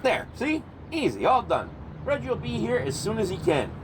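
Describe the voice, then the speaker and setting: A man's voice: high voice, normal speed. A man playing a cocky, dim-witted twin brother who boasts and insists he is right, in a comedy audio drama. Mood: smug. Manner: boastful, animated storytelling. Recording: car and street noise behind.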